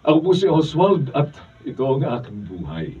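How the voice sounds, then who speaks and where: A man's voice: mid-range voice, big pitch swings, moderate pace, music in the background, close to an everyday voice. A man playing an emotional, exclamatory hot-headed motorist in a Filipino radio drama. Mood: grateful, repentant. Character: hot-headed boastful male motorist, later grateful and repentant. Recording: distant dull behind-the-scenes video, faint noise.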